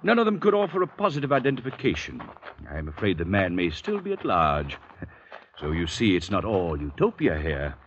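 Courtly, menacing patriarch, wildly swooping delivery. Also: male voice, deep voice, huge pitch swings, a little fast, slight background noise. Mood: wry regret. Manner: courtly, imperious, theatrical. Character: suave menacing wealthy patriarch guarding a secret, middle-aged father. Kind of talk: 1940s-50s radio drama acting. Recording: dull vintage radio broadcast recording.

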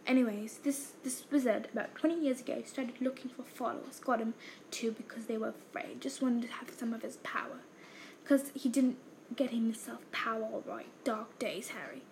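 A woman voicing a huge, gruff but kindly male half-giant gamekeeper in a read-aloud novel, with folksy, clipped dialect speech. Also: sits high, normal speed, slight background noise, natural voice, rough recording. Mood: hushed.

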